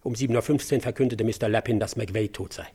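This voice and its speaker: A man's voice: fairly low pitch, quick pace, dark tone, husky. An actor playing a hard-nosed, precise male newspaper reporter giving an emphatic, clinical witness statement in a radio play. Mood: hard-nosed, factual.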